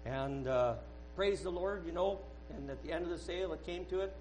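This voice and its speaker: A man's voice: on the high side, darkish tone, slight background noise, rough recording. Earnest man giving a rambling testimony. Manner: earnest, halting, with many restarts. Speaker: church member giving a testimony. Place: church service.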